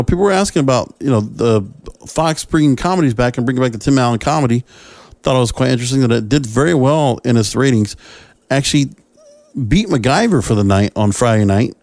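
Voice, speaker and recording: A man's voice: mid-range voice, a little mellow, dry recording. A man hosting a solo podcast, talking casually about entertainment in a rambling, opinionated, conversational way. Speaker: podcast host.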